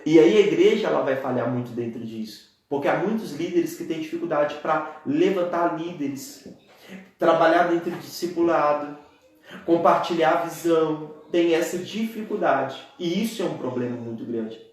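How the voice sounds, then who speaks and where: A man's voice: fairly high pitch, normal speed, a little mellow, very echoey. Earnest young Brazilian man preaching. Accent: Brazilian Portuguese. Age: 20s. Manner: earnest, keeps addressing listeners as dear ones. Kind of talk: church teaching talk on a live stream.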